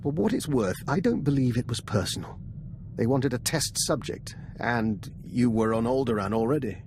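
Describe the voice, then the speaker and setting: A man's voice: medium pitch, lively intonation, moderate pace, noisy background, close to an everyday voice. An actor playing a shrewd older male scientist spy in a video game, formal, wry and precise. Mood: grave, precise. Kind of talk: video game cutscene acting.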